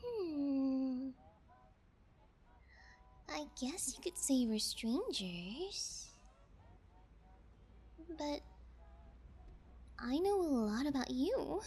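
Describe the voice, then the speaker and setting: A high, girlish female kidnapper fiend, acted by a woman for an ASMR audio roleplay. She talks slowly, drawing out sugary, sociopathic taunts and theatrical pouts. Faint noise sits behind the voice. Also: sing-song, a little mellow, exaggerated voice. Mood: teasing, threatening. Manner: sugary taunting, drawn-out, theatrical mock pouting. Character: playful young female fiend kidnapper, sociopathic, childish, mocking.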